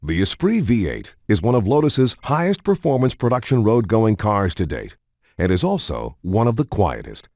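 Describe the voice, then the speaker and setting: A man reading scripted, informational showcase narration for a racing game in a polished, measured announcer manner. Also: on the low side, very animated, a little mellow.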